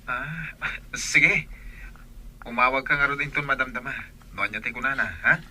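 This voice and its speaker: Earnest young village suitor played by a man, radio drama, mid-pitched forward voice, faint noise behind. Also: normal speed, pushed and bright. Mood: courting. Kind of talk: Philippine radio-style story drama.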